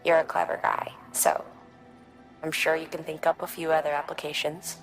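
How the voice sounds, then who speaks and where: Low-voiced, practical woman briefer. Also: level tone, moderate pace, faint music behind, low-quality recording. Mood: matter-of-fact. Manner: matter-of-fact, instructive. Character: calm, practical woman explaining gadgets to a courier. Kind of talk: video game equipment briefing.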